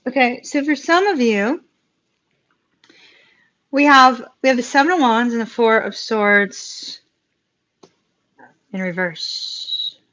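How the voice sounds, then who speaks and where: A woman, giving a live tarot reading in a chatty way, with a voice going hoarse, laughing often and repeating words for emphasis. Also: fairly high pitch, fairly bright tone, highs cut off. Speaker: tarot reader.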